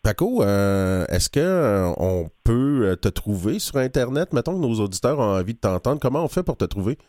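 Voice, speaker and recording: A man hosting a radio interview, asking friendly, relaxed questions. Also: lowish voice, moderate pace, no echo, clean studio sound. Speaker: radio host.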